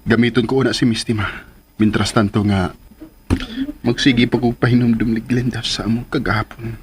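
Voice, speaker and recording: A man's voice: medium pitch, little pitch movement, moderate pace, a little hiss or hum, natural voice. An actor with a Filipino accent playing a smitten man scheming to win back an old love in a radio drama. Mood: scheming, wistful. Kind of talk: Philippine radio drama acting.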